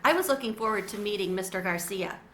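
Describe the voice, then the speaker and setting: A woman reading a children's chapter book aloud with expressive, animated storytelling. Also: medium pitch, fast talker. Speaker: storybook reader. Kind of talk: children's read-aloud narration.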